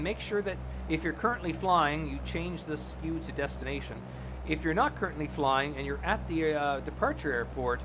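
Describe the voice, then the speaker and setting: A man's voice: on the high side, background noise. A man giving a casual, informal live stream tutorial, explaining things step by step to his viewers. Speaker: live streamer, flight simulator host.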